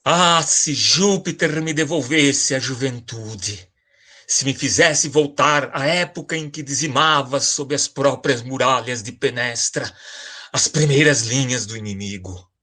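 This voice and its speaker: An old warrior king and worried father acted by a man in an audio drama. His voice is forceful and rough-edged, with a solemn, dramatic delivery. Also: mid-range voice, measured pace. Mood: wistful.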